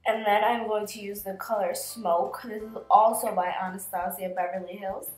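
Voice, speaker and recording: A woman's voice: mid-range voice, steady tone, normal speed. A woman in her twenties, sick with a cold, chatting through a makeup tutorial in a small bedroom, talking into a camera close in front of her. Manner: chatty, filler-heavy, explains each step. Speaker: beauty vlogger. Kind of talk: casual makeup tutorial narration. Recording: roomy bedroom echo, faint music behind.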